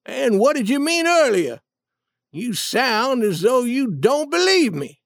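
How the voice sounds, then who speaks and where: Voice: high-pitched, expressive, moderate pace, vocal fry, a bit bright, no room sound, clean studio sound, pitched above the performer's own voice, heavily acted. A narrator voicing a blustering, bullying older con-man who poses as a king in a storybook tale, indignant and accusing.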